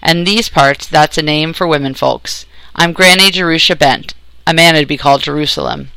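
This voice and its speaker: A harsh old mountain granny, played by a male narrator, blunt and commanding in a storybook tale. The voice sits high and comes through forceful, with rustic backwoods turns of speech. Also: normal speed, vocal fry, clean tone, no room sound. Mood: suspicious, blunt. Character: gruff, tall old mountain granny with a pistol.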